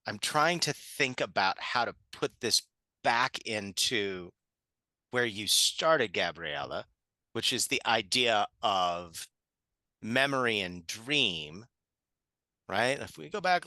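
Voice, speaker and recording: A man in a casual panel discussion, thinking aloud in a halting way. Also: fairly low pitch, bright, forceful tone, dry recording. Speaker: theater artist on a panel.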